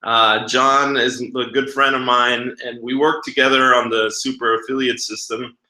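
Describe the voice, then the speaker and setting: A man's voice: medium pitch, little pitch movement, normal speed, sharp tone. A man in his thirties from Guatemala hosting a casual webinar, chatty and slangy in an informal way. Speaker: webinar host and marketing coach. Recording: echoey room.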